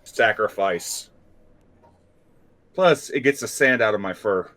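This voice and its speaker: A man's voice: fairly low pitch, unhurried, fairly bright tone. A man taking part as a player in a tabletop role-playing game, talking casually about what his character does. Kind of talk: casual role-play chat.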